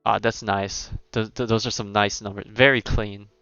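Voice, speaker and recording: A man live-streaming a game, chatting casually with viewers and thinking aloud in halting, repetitive phrases. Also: on the low side, flat delivery, vocal fry, bright, forceful tone, clean tone, no room sound, dull audio. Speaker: game livestreamer. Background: game music and cutscene audio.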